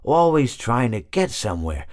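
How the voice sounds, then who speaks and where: A man's voice: medium pitch, sing-song, moderate pace, darkish tone, lightly acted. A man voicing a wistful, oblivious animal character in a game, telling meandering, hesitant stories full of digressions. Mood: wistful musing. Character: rambling, philosophical animal friend, wistful and oblivious. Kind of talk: rambling character monologue.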